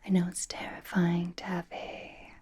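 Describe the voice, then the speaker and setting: Woman whispering as a slow, breathy, flirtatious vampire. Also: fairly low pitch, completely flat delivery, darkish tone. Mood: teasing, seductive. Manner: slow, soothing, coy teasing. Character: flirtatious, teasing, possessive reformed vampire grooming a guest. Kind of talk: ASMR roleplay. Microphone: close microphone.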